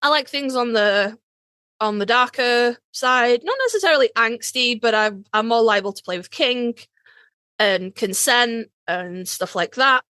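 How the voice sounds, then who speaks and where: A woman's voice: fairly high pitch, a little slow, bright, forceful tone, smooth, no echo, studio quality. A British woman co-hosting a podcast in casual chat, informal and sweary. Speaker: podcast co-host.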